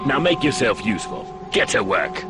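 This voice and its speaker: A man's voice: mid-range voice, very animated, normal speed, over music, lightly acted. A man playing a bullying mercenary thug in a video game, threatening, curt and mocking. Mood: menacing. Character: menacing male mercenary thug, bullying and sardonic. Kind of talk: video game cutscene acting.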